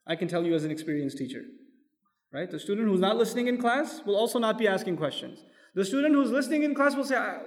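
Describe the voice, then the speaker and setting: Guy giving a quran lesson, fairly high voice, lots of hall echo, keeps asking the room questions. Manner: explains step by step, asks the audience questions; quotes Arabic verses. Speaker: Quran teacher. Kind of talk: lecture-style sermon. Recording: long hall reverb.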